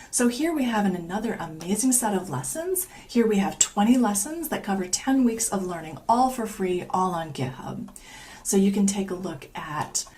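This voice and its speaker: A woman's voice: mid-range voice, a little mellow, a little hiss or hum. A woman giving an upbeat recorded talk that presents free online learning curricula.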